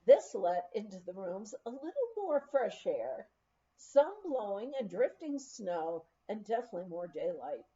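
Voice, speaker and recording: A woman's voice: medium pitch, sing-song, a little slow, dark tone, lo-fi sound. A woman reading a memoir aloud as a storyteller, with emphatic phrasing. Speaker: audiobook reader.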